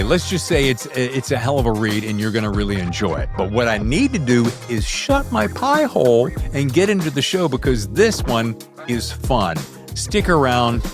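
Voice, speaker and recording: Man, casual chatty host, animated intonation, jokey, close standalone mic, background music. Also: mid-range voice. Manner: jokey, informal, mildly profane. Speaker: podcast host. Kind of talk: casual, chatty show introduction and interview. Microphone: standalone microphone.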